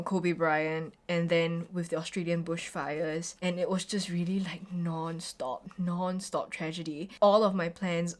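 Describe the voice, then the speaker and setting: A woman in her twenties from Singapore with a Singaporean English accent, chatting casually and opinionatedly in a home vlog, with lots of "like" fillers. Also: lowish voice. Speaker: vlogger. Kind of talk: casual get-ready-with-me chat.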